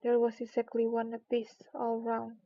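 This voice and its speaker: A woman's voice: fairly high pitch, monotone, normal speed, mellow. A woman narrating a children's storybook aloud in a careful read-aloud style. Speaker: streamer reading books aloud. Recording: slightly dull, lo-fi sound.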